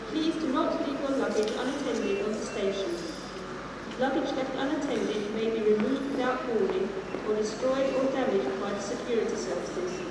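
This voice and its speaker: An English woman gives formal, measured automated railway announcements in stitched-together phrases over a station public address system. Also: a little high. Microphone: distant recorder picking up loudspeakers. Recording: echoey station tannoy from far off, noisy. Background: clear station ambience noise.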